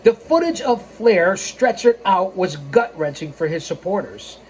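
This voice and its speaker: Woman narrating over clearly noisy background. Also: fairly low pitch, expressive, unhurried, a little mellow. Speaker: storytime host and narrator. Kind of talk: reading a scripted wrestling history aloud with casual asides.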